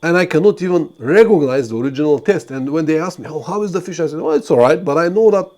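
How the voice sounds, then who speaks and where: A man's voice: on the high side, expressive, quick pace, darkish tone. A man from Bulgaria, hosting a live stream and giving earnest motorcycle travel advice with frequent fillers and restarts. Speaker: motorcycle travel channel host. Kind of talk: live stream talk to viewers.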